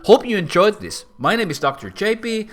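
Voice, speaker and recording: Lively Finnish-accented man explaining. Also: moderate pace. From Finland. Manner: animated, swooping pitch, playful asides. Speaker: host and philosopher. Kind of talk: casual explainer talk to camera.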